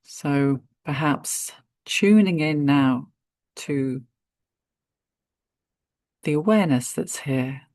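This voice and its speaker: A woman guiding a meditation in a gentle, unhurried manner, speaking in short phrases with spacious pauses. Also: low-pitched, dry recording. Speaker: meditation guide. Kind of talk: guided meditation narration.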